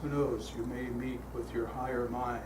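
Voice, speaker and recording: Older man, calm storytelling in an echoey hall. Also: medium pitch, moderate pace. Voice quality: calm, relaxing voice. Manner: relaxed, chatty, frequent uh fillers and restarts. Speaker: guest speaker leading a guided meditation. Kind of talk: storytelling talk to a congregation. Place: church hall. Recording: echoey hall, heard from a distance, noisy.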